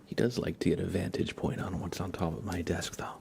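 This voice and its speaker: A man chatting casually on an ASMR game livestream in a soft, breathy voice that often slips toward a whisper, hesitant and rambling. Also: sits low, little pitch movement, brisk, slight background noise. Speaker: livestream host. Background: music.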